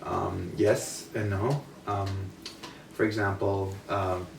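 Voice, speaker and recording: A man's voice: low-pitched, steady tone, slow, vocal fry. A young man from India in a casual group discussion, rambling with many fillers. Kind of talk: casual chat. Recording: roomy, from a distance, faint noise.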